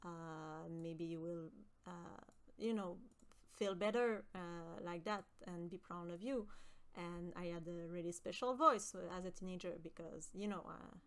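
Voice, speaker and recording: A young woman from with a high voice, chatting with her stream viewers and often pausing with fillers. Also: fairly bright tone, clear, resonant tone. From Quebec. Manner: hesitant, many fillers and restarts. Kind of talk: casual chat with stream viewers.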